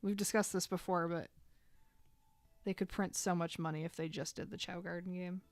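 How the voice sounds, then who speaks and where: A woman's voice: on the low side, steady tone, moderate pace, no room sound, soft background music. A woman in her thirties chatting casually while drawing on a live stream, rambling and filling gaps with "like". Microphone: desk microphone.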